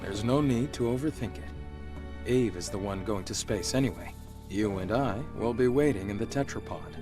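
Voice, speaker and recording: An actor playing a calm, reassuring guide and mission planner in a video game, measured, steady and practical. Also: male voice, lowish voice, over music, close to an everyday voice.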